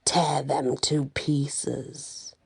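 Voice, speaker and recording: A woman voicing an angry, commanding wicked witch in a storybook, with slow, menacing orders in a soft husky voice. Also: rumbling low, level tone, pitched below the performer's own voice, exaggerated voice. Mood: cold menace.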